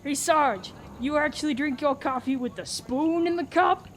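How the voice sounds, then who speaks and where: A woman's voice: sits high, normal speed, a little hiss or hum, lightly acted. A woman playing a friendly, folksy diner customer in a farcical radio play.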